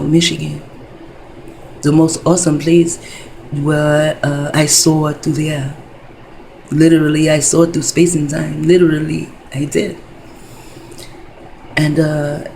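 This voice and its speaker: A woman's voice: low-pitched, vocal fry, warm tone, slight background noise, poor audio. A woman in her fifties talking in a rambling casual monologue, wandering between thoughts, full of fillers and profanity. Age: 50s.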